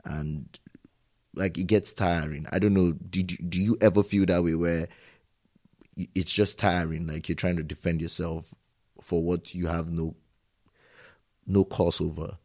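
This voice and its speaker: A man's voice: low voice, fairly even intonation, measured pace, long pauses, darkish tone. A man hosting a casual conversation, talking in an earnest, reflective way about his own experiences as an immigrant. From immigrant living in Germany. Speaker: host.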